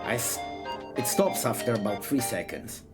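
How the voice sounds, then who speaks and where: A man's voice: medium pitch, expressive, normal speed. A man reading a story aloud expressively, theatrical and irreverent, breaking off for side remarks. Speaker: streamer reading a visual novel aloud. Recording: music behind the voice. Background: music.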